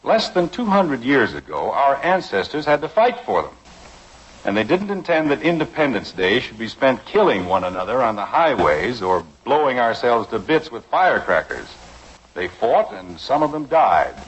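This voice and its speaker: An actor playing an earnest, stern, principled middle-aged American father in a measured, lecturing 1950s radio drama style. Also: medium pitch, big pitch swings, husky. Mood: earnest, solemn. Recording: noisy vintage 1950s radio broadcast.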